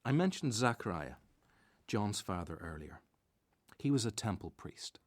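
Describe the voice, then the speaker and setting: An actor as a reflective storyteller, narrating a scripted radio drama in a measured way. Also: male voice, fairly low pitch, big pitch swings, no room sound.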